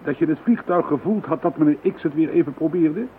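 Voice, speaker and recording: A man's voice: fairly high pitch, fast talker, phone-quality sound, a little hiss or hum, close to an everyday voice. A man playing an easygoing police colleague. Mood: friendly.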